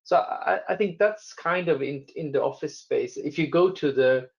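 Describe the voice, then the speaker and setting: A man's voice: a little high, moderate pace, gravelly, darkish tone, muffled recording. A man from Sweden with a Swedish accent, a telecom guest answering interview questions with frequent restarts and fillers. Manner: hesitant, many restarts and fillers.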